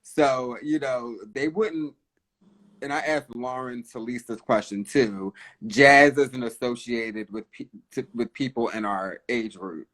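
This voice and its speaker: Man, early thirties, interview host, halting, restarts sentences, mid pitch. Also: fairly even intonation, fairly bright tone, low-quality recording. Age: 30s. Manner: halting, restarts his questions. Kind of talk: casual interview chat.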